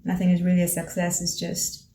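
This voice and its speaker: A woman from Tanzania whose first language is Swahili, talking to camera in a thoughtful, gently encouraging way and often pausing to search for words. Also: lowish voice, monotone. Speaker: vlogger. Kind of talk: reflective personal talk to camera. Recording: roomy echo with faint ambient noise.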